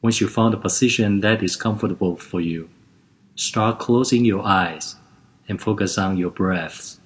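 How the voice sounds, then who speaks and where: A man leads a guided relaxation session in a calm, gentle voice. He talks very slowly and unhurriedly, leaving room between each instruction, with a fairly low voice.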